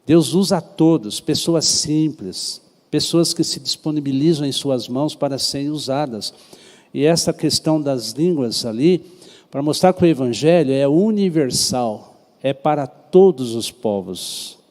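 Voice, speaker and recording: A man's voice: on the high side, animated, measured pace, darkish tone. A man with a Brazilian Portuguese accent, a pastor teaching a Sunday school Bible class in a conversational way, often checking in with the class. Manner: conversational, asks the class questions. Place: church hall. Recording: echoey hall with long reverb.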